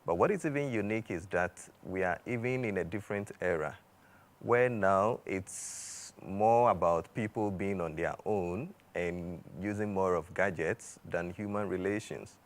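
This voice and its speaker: A man, a doctor guest speaking in a measured, reflective way. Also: deep voice. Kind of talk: expert commentary on a talk show.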